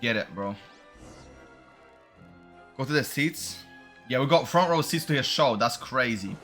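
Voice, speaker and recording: Bright-voiced man streaming a game, slangy. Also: mid-range voice, expressive, a little slow, soft background music. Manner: slangy, reactive, bro-heavy talk. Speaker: gaming streamer reacting to a playthrough. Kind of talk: casual gameplay commentary, reading dialogue aloud.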